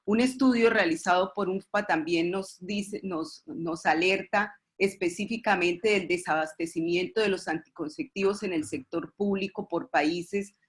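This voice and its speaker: A woman with a Colombian accent giving a formal webinar presentation as an expert, often halting and repeating words as she goes. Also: lowish voice. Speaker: expert presenter at a webinar, representing a pediatric committee.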